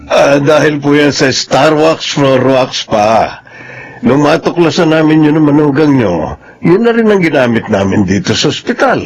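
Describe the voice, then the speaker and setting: A man's voice: on the high side, animated, moderate pace. An actor with a Filipino accent playing a stern, domineering middle-aged father and doctor in a radio soap, scolding and mixing in English. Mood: casual, explaining. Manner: harsh, scolding, code-switches Tagalog with English.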